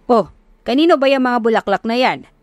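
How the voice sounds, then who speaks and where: A woman voicing a blunt, loud middle-aged father of grown daughters in an audio romance, with a Filipino accent. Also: a little high, animated, fairly quick, no echo, natural voice. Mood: questioning.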